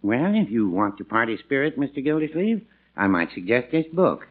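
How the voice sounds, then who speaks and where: An actor playing a mild, helpful small-town shopkeeper in a 1940s radio comedy, with a folksy, chuckling manner. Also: male voice, mid-range voice, big pitch swings, moderate pace, narrow, tinny audio. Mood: earnest suggesting. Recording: thin, band-limited vintage radio recording.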